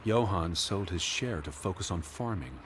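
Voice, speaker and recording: Deep-voiced, formal, weary 1920s gentleman. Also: expressive, normal speed, a little hiss or hum. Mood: sober, informative. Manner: formal, earnest, precise. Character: weary, anxious, bookish middle-aged gentleman searching for his sister. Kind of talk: 1920s period drama video game acting.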